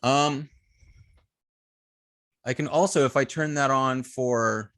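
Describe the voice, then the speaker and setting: A man with a mid-range voice teaches web coding step by step. His phrasing is halting, full of ums and restarted sentences. The sound is dry and close to the microphone. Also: mid-range voice, fairly even intonation, fairly bright tone, no room sound. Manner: halting, hesitant phrasing with frequent ums and self-corrections. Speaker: web development instructor. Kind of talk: live coding tutorial, explaining step by step.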